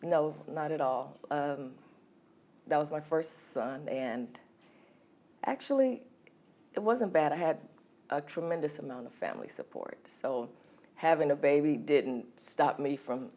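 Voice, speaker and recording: A middle-aged woman from California telling her life story as an interview guest, hesitant with many ums and soft laughs. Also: low voice, expressive, a little slow. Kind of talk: candid personal storytelling.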